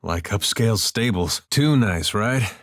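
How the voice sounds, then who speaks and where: A man voicing an easygoing farmer turned adventurer in a video game, with a folksy, drawling, deadpan humour. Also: on the low side, measured pace, no room sound, clean studio sound. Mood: dry, friendly. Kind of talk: video game companion dialogue and barks.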